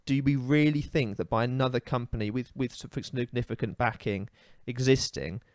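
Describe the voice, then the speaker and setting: A man in casual podcast chat, holding forth with firm opinions and plenty of "um" and "you know". His voice sits at an ordinary middle pitch. It is recorded close and dry, with no room sound. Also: moderate pace, no room sound. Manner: opinionated, ranting, many fillers. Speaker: podcast co-host.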